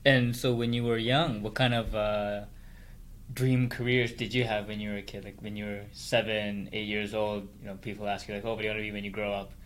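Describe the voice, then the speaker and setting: A man's voice: on the low side, little pitch movement, a little fast, a bit bright. A man from South Korea who moved to Hong Kong and Canada as a child, hosting a casual conversational interview. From South Korea, Hong Kong, then Canada from childhood. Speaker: podcast host, interviewer.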